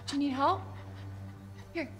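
A woman's voice: high voice, measured pace, fairly bright tone. An actress playing a determined, protective young woman in a survival horror game, speaking in an earnest way. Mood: reassuring. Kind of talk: video game cutscene acting. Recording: echoey hall with ambient noise.

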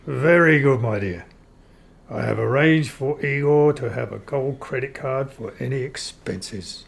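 Vampire count, played by a man: mid-pitched, gravelly, polite and measured, radio comedy-drama style. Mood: approving. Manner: measured, courteous, explanatory. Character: courteous, matter-of-fact vampire count and husband. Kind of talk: old-time radio play acting.

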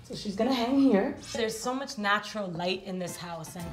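A woman in her thirties from New York, giving a casual, playful house tour vlog in a bright home, recorded on a camera mic. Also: mid-range voice, expressive, soft background music. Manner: chatty, playful, drawn-out asides. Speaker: vlog host.

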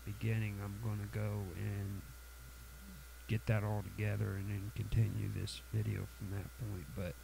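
Tutorial host, man, sniffly cold, low gravelly voice, hesitant delivery with lots of ums. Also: completely flat delivery, noisy background. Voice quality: halting, many fillers and restarts. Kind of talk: casual screen-recorded software tutorial.

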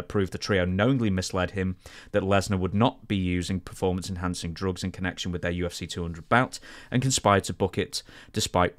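A man co-hosting a podcast, talking casually through sports news and explaining it. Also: low voice. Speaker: podcast co-host.

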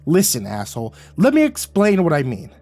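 A man's voice: on the high side, huge pitch swings, very fast, dark tone, breathy edge, soft background music, voice pushed up, exaggerated voice. Man playing a combative, furious younger man. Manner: biting, combative. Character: defiant younger man, confrontational.

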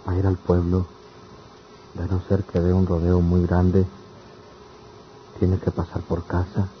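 Deep-voiced, lovelorn young country man. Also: monotone, slow. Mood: brooding. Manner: plaintive, rustic speech. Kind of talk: radionovela acting. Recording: dull, noisy old radio drama recording.

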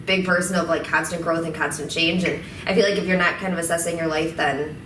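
A woman's voice: sits low, fairly even intonation, fast talker, a bit bright. Chatty woman in her thirties, roomy sound. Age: 30s. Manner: upbeat, chatty, laughs easily. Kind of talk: casual office talk show chat. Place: office lounge set with sofas. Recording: roomy, distant mic with faint noise.

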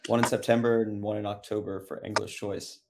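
Low, gravelly man chatting casually. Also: little pitch movement, brisk. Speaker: podcast guest, tournament angler. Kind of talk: casual chat. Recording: faint noise and clicks.

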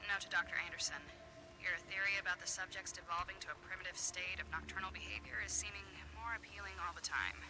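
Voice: mid-range voice, steady tone, fast, over music, close to an everyday voice, low-quality recording. A performer acting a methodical, cautious field researcher in a video game, speaking clinically and precisely.

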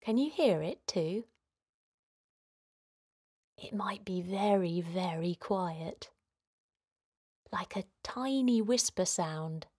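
Female children's meditation host. Gentle, simple delivery, very slow pace, lowish pitch. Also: expressive, breathy edge. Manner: gentle, soothing, simple instructions with pauses. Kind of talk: guided relaxation for children.

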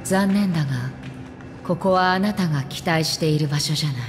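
A woman voicing a solemn, melancholic swordswoman in a game, measured and detached. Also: low voice, faint music behind, close to an everyday voice. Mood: grave, detached. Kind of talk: Japanese game voice acting, slow and grave.

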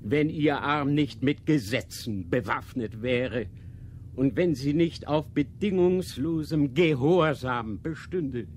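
An actor playing a stern, dogmatic inquisitor in a radio drama, measured and didactic, posing rhetorical questions. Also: male voice, medium pitch, a little hiss or hum. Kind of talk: literary radio drama acting.

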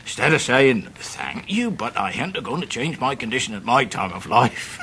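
A man's voice: medium pitch, big pitch swings, normal speed, background noise, natural voice. An actor playing a hearty, humble old countryman with a rustic English accent and folksy storytelling in a radio drama. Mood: amused. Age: older. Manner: folksy dialect, chuckling storyteller. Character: hale grey-haired old countryman, hearty, humble and warm. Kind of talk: 1990s radio drama acting.

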